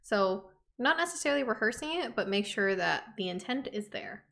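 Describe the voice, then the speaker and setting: Young woman cohosting a podcast, casual chatty talk, normal mid pitch. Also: expressive, moderate pace, gravelly, fairly bright tone. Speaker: podcast co-host. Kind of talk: casual chat.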